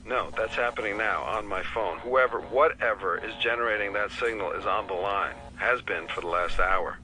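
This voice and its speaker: A man's voice: on the low side, normal speed, sharp tone, poor audio. An actor playing a wry, dogged male FBI investigator in an audio drama, with a dry, wisecracking manner. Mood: focused.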